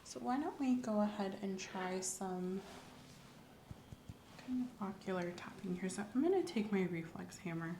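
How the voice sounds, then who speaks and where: A woman playing a calm, attentive doctor in an ASMR roleplay, speaking softly, often in a near whisper, with gentle step-by-step instructions. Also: mid-range voice, moderate pace, reverberant, slight background noise, natural voice. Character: calm, attentive female doctor giving an exam. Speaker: ASMR performer playing a clinician. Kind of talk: soft-spoken ASMR medical roleplay.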